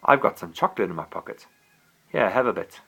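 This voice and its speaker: A man's voice: fairly low pitch, animated, fairly quick, vocal fry, pushed and bright, husky, full character voice. A man reading aloud, voicing a cheerful, kind young boy.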